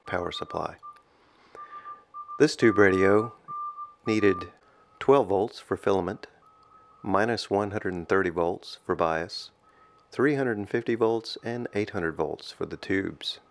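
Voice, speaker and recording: A man giving a measured, halting voice-over narration as a hobbyist explaining his own project. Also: deep voice, gravelly, slight background noise. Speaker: amateur radio hobbyist narrating own restoration.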